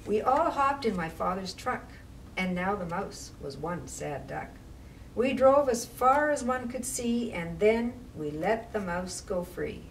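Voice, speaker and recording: A woman reading to young children as a library storytime reader, with measured, expressive phrasing and casual asides. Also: medium pitch. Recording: distant mic with faint background noise.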